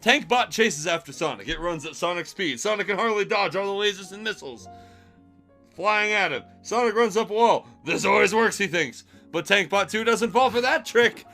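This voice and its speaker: A man reading fan fiction aloud for laughs on a stream, with animated, exclamatory, mocking delivery. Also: high voice, normal speed, soft background music.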